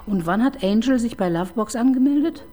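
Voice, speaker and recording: A woman's voice: a little high, animated, a little fast, mellow. An actress in her fifties playing a dry, sardonic woman police chief inspector in a radio crime drama. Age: 50s. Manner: dry, sarcastic.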